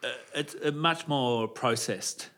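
Bright-voiced laughing Australian-raised man. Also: mid-range voice, slow. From Melbourne, Australia. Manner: laughs often, self-deprecating. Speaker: small brewery owner guest. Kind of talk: casual interview chat.

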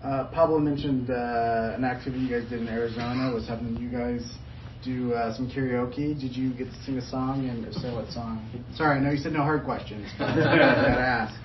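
A man's voice: medium pitch, fairly even intonation, a little fast, a little hiss or hum. Male reporter, playful questions, echoey room, distant and muffled. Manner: lighthearted, joking. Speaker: sports reporter. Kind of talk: press interview questions.

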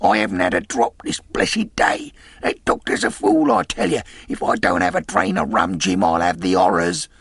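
A man voicing a weak, drunken old sea captain who wheedles and blusters in sailor slang, with a gravelly, husky voice. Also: mid-range voice, huge pitch swings, moderate pace, hardly any pauses, a bit bright, no echo, exaggerated voice. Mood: pleading. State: weak, feeble voice.

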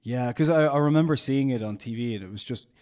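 Brief: male host, mid-pitched, chatty and upbeat. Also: fast talker, darkish tone. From Ireland. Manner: chatty, upbeat, rambling questions. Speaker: podcast host. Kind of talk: casual interview.

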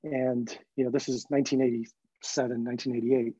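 A man in his forties with a husky, mid-pitched voice tells his own story as an interview guest. He grew up in Hong Kong and learned English as a child, and he speaks in a reflective, conversational way. The recording is a little dull. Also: level tone, brisk, warm tone, no room sound. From Hong Kong, then the California Bay Area from age ten. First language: not English, learned it at about ten. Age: 40s.

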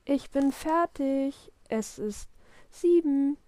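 A woman's voice: high voice, animated, takes it slowly, warm tone, dry recording, voice pushed up. A woman voicing a dawdling, eager little kindergarten boy in a children's story. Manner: pleading, stalling, easily distracted. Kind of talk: children's storybook read-aloud.

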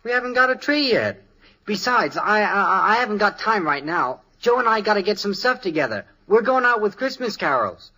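An American actor playing an eager, brash teenage son in a 1950s radio sitcom, enthusiastic and slangy. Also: male voice, high voice, big pitch swings, moderate pace, bright, forceful tone, heavily acted, rough recording.

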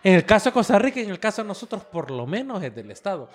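A man raised in Nicaragua with a fairly high voice and very quick delivery. He co-hosts a casual podcast, giving slangy opinions sprinkled with English phrases. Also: very animated, slightly rough. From Nicaragua, born in the United States. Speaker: podcast co-host. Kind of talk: casual group chat.

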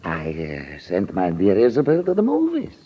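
An actor playing a nervous, scheming male con man in a 1940s radio drama, speaking with a halting, theatrical delivery on a muffled old recording. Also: on the low side, wildly swooping pitch, breathy edge, exaggerated voice. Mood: uneasy, haunted.

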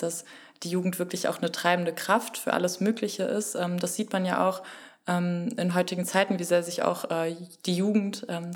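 A woman in her twenties joins a panel discussion in a low, flat voice. She hesitates often and fills her pauses. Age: 20s. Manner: hesitant, many fillers. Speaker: law student, panelist. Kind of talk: casual discussion.

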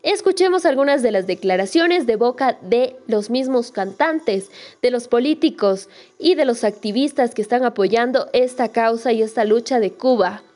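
A woman's voice: fairly high pitch, expressive, moderate pace, few pauses, darkish tone. A woman narrating a scripted news report.

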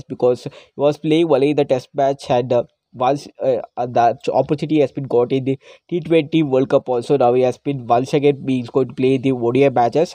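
A man, hosting a casual solo podcast, hoarse from a cold and pausing often with fillers. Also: medium pitch, warm tone, no echo. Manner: hesitant, frequent "uh" fillers. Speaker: podcast host.

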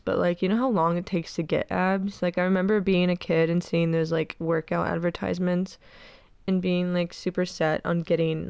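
A young woman in her twenties hosts her podcast in a loose, rambling way, leaning on "like" constantly. Her nose sounds stuffed up, and a gravelly vocal fry runs under a smooth voice. The sound is dry, with no room echo. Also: fairly low pitch, a little mellow. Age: 20s. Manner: rambling, peppered with "like". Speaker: podcast host. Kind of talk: casual chat.

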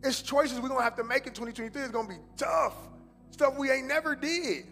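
A man preaching a sermon as a pastor, mixing casual slang with fervent preaching that swings between loud urgency and hushed prayer. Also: very high voice, quick pace, in a large hall, soft background music. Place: church sanctuary.

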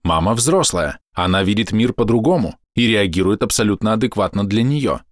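A man reading a scripted explanatory voice-over in measured, deliberate short phrases, close to the microphone. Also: on the low side, expressive, no echo. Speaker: narrator.

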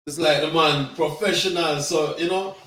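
A Jamaican man in his sixties with a somewhat high voice, speaking from a little back from the mic. The room around him rings with echo, and he chats in a laid-back way, dropping patois as he recalls his early days. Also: normal speed, distant mic. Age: 60s. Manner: relaxed, laughing, patois phrases. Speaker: guest reggae singer. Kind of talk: casual interview storytelling.

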